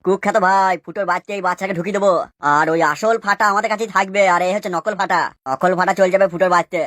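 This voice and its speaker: A woman voices a scheming gang member in a homemade cartoon, urgent and insistent. The pitch is middling, the speech very rapid, the sound dry. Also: steady tone, fairly bright tone, dry recording, natural voice. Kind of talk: amateur Bengali cartoon dubbing.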